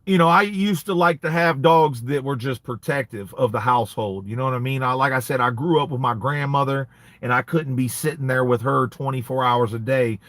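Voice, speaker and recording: A man's voice: mid-range voice, fairly quick, fairly bright tone, no room sound. Laid-back man chatting on a livestream. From United States. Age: 30s. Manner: laid-back street slang, frequent "man" and "you know". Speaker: dog breeder hosting a livestream. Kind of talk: casual livestream chat reading comments.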